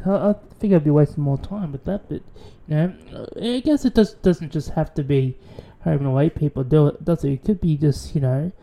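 A man with an Australian accent, rambling through a casual radio monologue, swearing freely and restarting his sentences. His voice is very dark and mellow in tone. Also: a little high, a little fast, vocal fry, few pauses. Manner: rambling, profane, many restarts. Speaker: radio show host.

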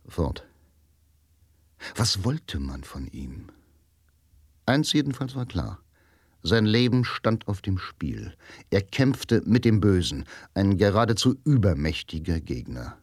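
Deep, slow male drama narrator. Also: big pitch swings, no room sound, studio quality. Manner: deliberate, dramatic, clipped phrases. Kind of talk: scripted radio drama narration. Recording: music behind the voice.

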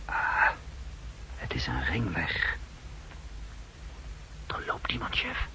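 An actor playing a cocky, wary paratrooper scout in a 1960s radio drama, terse and confident. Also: male voice, deep voice, huge pitch swings, takes it slowly, sharp tone, in a whisper, exaggerated voice. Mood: hushed, wary. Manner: terse, confident soldier talk. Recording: muffled old 1960s radio recording.

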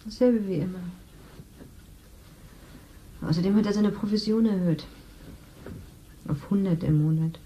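A woman's voice: mid-range voice, measured pace, long pauses, warm tone, a little hiss or hum, close to an everyday voice, rough recording. A woman playing a matter-of-fact, tired housewife in a 1970s radio play.

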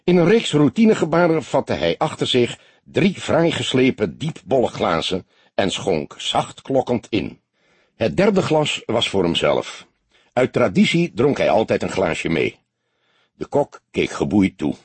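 A man's voice: mid-range voice, big pitch swings, a little slow, husky, dry recording. A man reading a story aloud as an audiobook narrator, in scripted narration.

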